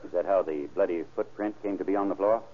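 Fast-talking old radio sheriff. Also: male voice, sits low. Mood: brisk, probing. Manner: businesslike, clipped questioning. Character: brisk, probing male county sheriff questioning witnesses. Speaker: actor in a crime radio drama. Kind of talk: old-time radio acting. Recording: muffled, band-limited vintage radio recording.

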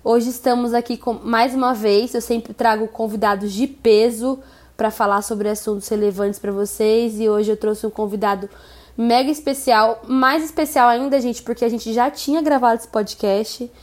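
A woman's voice: fairly high pitch, steady tone, moderate pace. A woman around thirty, hosting a casual podcast interview and talking straight to her listeners in a chatty, upbeat way. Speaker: podcast host.

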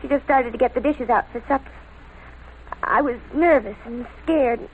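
A woman's voice: high voice, moderate pace, narrow, tinny audio, a little hiss or hum. A young American woman playing a nervous, lovestruck teenage girl in a 1940s radio drama, hesitant and earnest as she confides. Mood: nervous, confessing. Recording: old radio broadcast with music behind.

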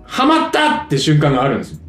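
A man chatting casually as a podcast co-host, animated and explaining things with vivid sound words. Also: a little high, racing, reverberant, soft background music. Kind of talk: casual chat.

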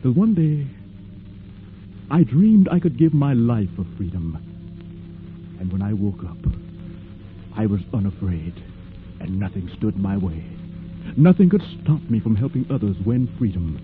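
A man's voice: lowish voice, animated, a little slow, long pauses, over music. A man acting an earnest, fearless former slave and freedom fighter in a solemn, declamatory 1940s radio drama style. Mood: resolute, impassioned. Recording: muffled, noisy vintage radio drama recording.

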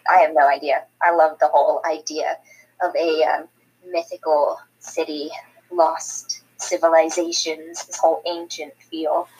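Husky-voiced Scottish woman podcaster. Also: deep voice, level tone, measured pace, darkish tone, rough recording. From Scotland. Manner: chatty, explanatory, with frequent ums. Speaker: podcast co-host. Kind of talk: casual chat.

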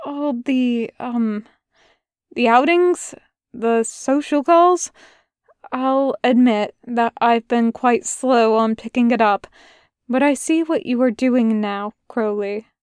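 A woman's voice: fairly high pitch, slow pace, a little mellow, clear, resonant tone, dry recording, clean studio sound. A woman reading a fan audiobook, voicing a fussy, prim male angel who stumbles over his words. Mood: hesitant.

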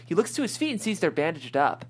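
A man's voice: on the high side, big pitch swings, fast talker, breathy edge. A man hosting a script reading and narrating stage directions in a descriptive storytelling style.

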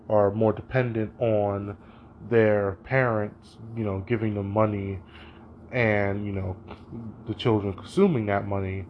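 Hesitant young man, slow and muffled. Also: lowish voice, slight background noise. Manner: hesitant, thinking aloud, says "you know" a lot. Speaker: student. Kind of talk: spoken reading reflection.

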